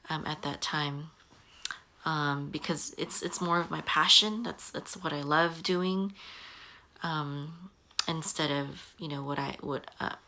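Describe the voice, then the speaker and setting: Low-voiced woman in her forties, halting. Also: pushed and bright. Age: 40s. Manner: halting, many ums and restarts. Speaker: online business coach. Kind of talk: casual talking-head advice.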